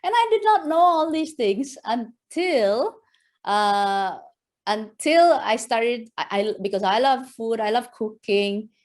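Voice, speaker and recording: A woman's voice: on the high side, expressive, takes it slowly. A woman from West Java with an Indonesian accent, giving a lecture and quizzing her audience with questions. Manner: engaging, quizzes the audience, frequent rhetorical "right?"; enthusiastic, laughs often. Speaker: guest lecturer.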